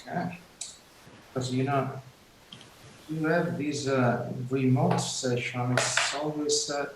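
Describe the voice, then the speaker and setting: A man leading an online class, talking unhurriedly with many fillers. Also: mid-range voice. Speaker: lecturer. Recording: echoey video call with hiss.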